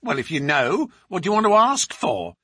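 A man's voice: sits high, sing-song, moderate pace, fairly bright tone, slightly rough, no echo, studio quality, voice pushed up, full character voice. Man putting on a screechy, indignant maidservant woman. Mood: sullen, defiant. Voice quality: screechy, wheezy. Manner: rustic uneducated speech, indignant. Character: sulky gaunt wheezy maidservant woman, defiant and coarse.

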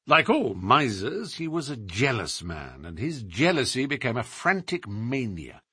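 Man acting a brilliant gentleman detective, theatrical, precise, bright forceful voice, swooping pitch, audiobook. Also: very animated, unhurried, slightly rough, dry recording, studio quality, full character voice. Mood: expository, confident. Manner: precise, theatrical, formal explaining. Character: brilliant, self-assured gentleman detective, lecturing.

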